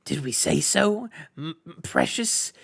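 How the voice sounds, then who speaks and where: A man's voice: on the high side, animated, slow, creaky, a bit bright, husky, no room sound, clean studio sound, full character voice. A man voicing a wretched, sly, greedy male cave creature that hisses and whispers to itself. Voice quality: hissing, whispery.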